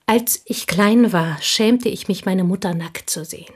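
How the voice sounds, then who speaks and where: An actress in a German radio drama playing a possessive, intense village schoolteacher who confides deliberately, often sinking toward a whisper. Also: female voice, mid-range voice, husky. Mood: reflective, hushed.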